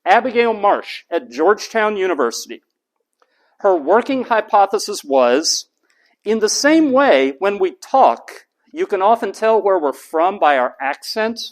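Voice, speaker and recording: Male university lecturer, high and very animated pitch, poses questions and answers them for the class. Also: a little slow.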